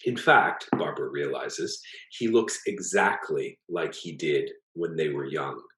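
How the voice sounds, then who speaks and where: Very deep-voiced man reading in an echoey room. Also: a little slow, fairly bright tone. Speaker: author reading own short story. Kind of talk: literary story reading, scripted narration. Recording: echoey, roomy sound.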